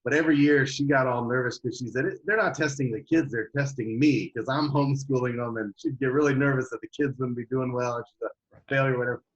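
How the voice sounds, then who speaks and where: Middle-aged male interview guest, mid-pitched, animated conversational storyteller. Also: quick pace, lo-fi sound. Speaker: guest, homeschooling parent.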